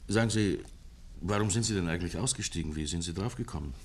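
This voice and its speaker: An actor playing a polite, earnest police investigator in a 1950s German radio play, courteous and methodical with small hesitations. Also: male voice, low voice, fast. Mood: questioning.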